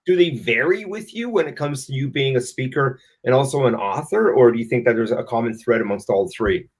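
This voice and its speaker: A man's voice: medium pitch, animated, a little fast. A man hosting a casual live-stream interview, agreeing often and asking open questions. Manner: affirming, frequent agreeing interjections. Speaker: interview host.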